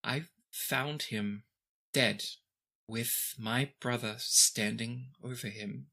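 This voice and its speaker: A performer voicing a young teenage princess who is gentle, guarded and composed, with formal, measured phrasing in a fantasy tabletop game. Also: medium pitch, steady tone, gravelly, heavily acted. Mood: grave, controlled.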